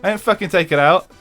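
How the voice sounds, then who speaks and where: A man's voice: sits high, fairly bright tone. A young English man chatting casually with his viewers while streaming a racing game, swearing freely and laughing often.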